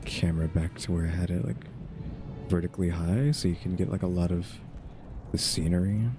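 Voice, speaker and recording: A deep-voiced man chats over clear game music as he plays. His commentary is informal and casual, as if thinking out loud. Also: fairly quick. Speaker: gaming channel host.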